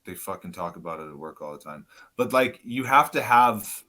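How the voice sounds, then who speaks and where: A man guest on a podcast, talking loud and casual, swearing often and rambling as he goes. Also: deep voice, expressive, moderate pace. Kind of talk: casual chat.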